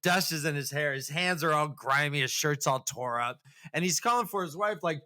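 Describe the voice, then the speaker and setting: A man co-hosting a casual, comedic podcast, retelling a story in an animated, jokey and halting way. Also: fairly high pitch. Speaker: podcast co-host.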